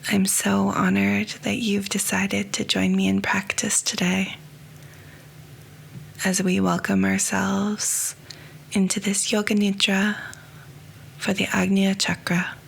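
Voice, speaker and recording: A woman leads a guided yoga nidra meditation in a soft, hushed voice that often drops into a whisper. She speaks very slowly on a low, even pitch with a gravelly fry. A faint hiss sits under the voice.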